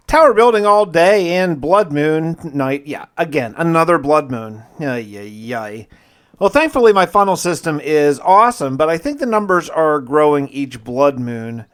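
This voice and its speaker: A man's voice: on the high side, clear, resonant tone. A man hosting a gaming video, narrating his play casually in the first person with wry, conversational musing.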